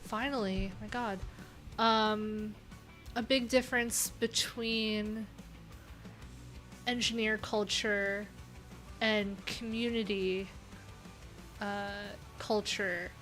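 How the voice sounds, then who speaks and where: A woman's voice: medium pitch, steady tone, drawn-out words, long pauses, fairly bright tone, soft background music. A woman hosting a casual coding livestream, talking candidly in a rambling way with lots of filler words. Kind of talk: casual live-stream chat.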